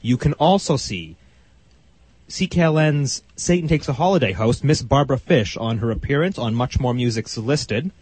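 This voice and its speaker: A man co-hosts a Sunday morning community radio show. His voice is a little husky. He chats and rattles off station announcements at a brisk pace. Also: medium pitch, lively intonation, a little mellow, no echo, poor audio. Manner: chatty, reads announcements briskly. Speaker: radio co-host. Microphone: studio microphone.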